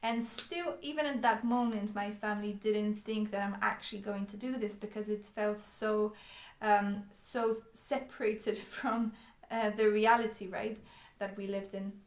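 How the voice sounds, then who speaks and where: A woman's voice: medium pitch, steady tone, measured pace, echoey room. A young woman from Poland, Polish her first language, telling her life story to the camera in an earnest way with frequent ums and restarts. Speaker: vlogger.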